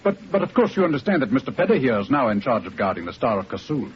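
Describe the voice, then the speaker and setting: Man playing a proper army major, courteous, talks fast, muffled old radio. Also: mid-range voice, very animated, close to an everyday voice. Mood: astonished, grateful. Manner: formal, courteous. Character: formal, grateful male army major. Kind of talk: 1940s radio acting. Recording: muffled, hissy 1940s radio recording.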